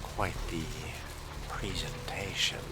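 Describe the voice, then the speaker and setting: A man role-playing a video game character in a measured, musing, aloof and theatrical manner. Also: deep voice, steady tone. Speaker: player voicing his vampire character. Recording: loud music behind the voice.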